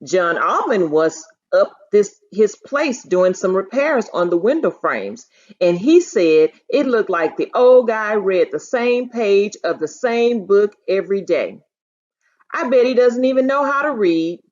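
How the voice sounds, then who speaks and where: A woman's voice: mid-range voice, lively intonation, a little slow, darkish tone, highs cut off, close to an everyday voice. A woman reading the lines of a dismissive, skeptical boy in a storybook, in a scoffing manner.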